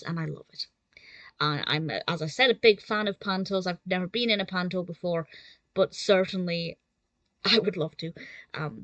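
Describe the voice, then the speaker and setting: A woman's voice: mid-range voice, a bit bright, dry recording. A woman giving a casual, enthusiastic spoken review in a chatty manner.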